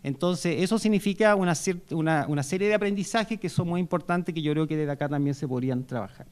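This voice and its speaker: A man with a Chilean accent speaking at a university panel. His voice sits high for a man. He talks in a loose, conversational way, stopping to restart his sentences and filling pauses with "eh". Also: darkish tone, clear, resonant tone. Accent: Chilean Spanish. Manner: conversational, with many fillers and restarts. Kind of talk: public talk at a panel. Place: university lecture hall.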